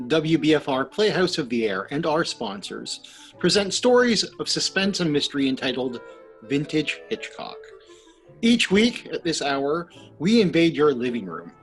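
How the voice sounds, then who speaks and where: Theatrical, sly radio suspense host. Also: male voice, a little high, low-quality recording. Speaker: narrator and announcer of a radio play. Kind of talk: old-time radio suspense narration.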